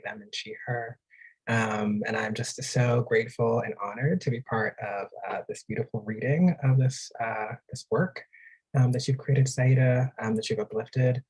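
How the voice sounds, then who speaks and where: A man taking part in a reading, reflecting earnestly and informally in a husky voice. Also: medium pitch, darkish tone, rough recording. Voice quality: husky, breathy. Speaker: reader, event participant.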